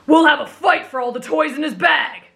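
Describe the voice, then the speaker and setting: A woman's voice: high-pitched, moderate pace, creaky, a bit bright. A woman voicing a brash, headstrong teenage boy who talks in a blunt, boastful way, in an anime fan roleplay. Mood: boisterous.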